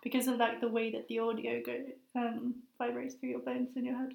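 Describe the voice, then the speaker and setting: A young woman in her twenties with a high voice, a master's student answering interview questions in a hesitant, thoughtful way with many fillers. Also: little pitch movement, a little fast, gravelly, a little mellow. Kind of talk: casual conversational interview. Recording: roomy echo.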